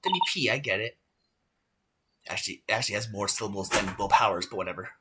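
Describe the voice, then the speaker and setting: A woman voicing a dry, sceptical young male lawyer in a game, deadpan and wry. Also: very deep voice, fast, heavy background noise, voice pushed down. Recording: loud music over the voice.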